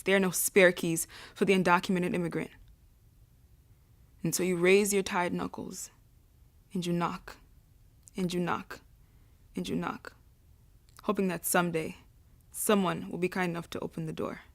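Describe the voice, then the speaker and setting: A woman's voice: on the low side, little pitch movement, normal speed, long pauses. A woman narrating a spoken-word poem in an earnest, rhythmic delivery.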